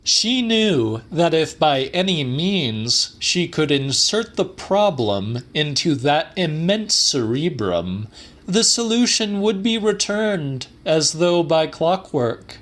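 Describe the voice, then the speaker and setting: A man's voice: fairly high pitch, sing-song, takes it slowly, fairly bright tone. A man narrating a literary audiobook in a dramatic scripted reading style.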